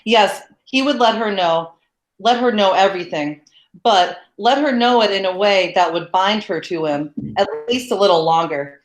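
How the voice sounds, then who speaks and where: Woman reading a novel aloud, echoey. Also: mid-range voice. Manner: steady, even, measured reading. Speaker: narrator. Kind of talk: plain read-aloud of a novel. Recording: roomy echo.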